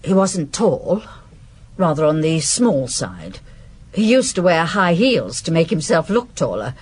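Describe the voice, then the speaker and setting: An actress playing a blunt, guarded former servant giving evidence in a radio detective drama, dry and sardonic. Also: female voice, low-pitched, big pitch swings, measured pace, a little mellow, breathy edge, heavily acted. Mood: recalling, guarded.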